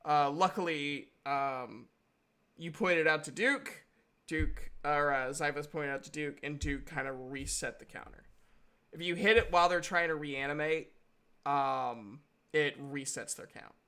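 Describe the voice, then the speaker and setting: A man's voice: on the high side, unhurried, bright, forceful tone, smooth. A man running a tabletop game as game master, narrating and chatting casually.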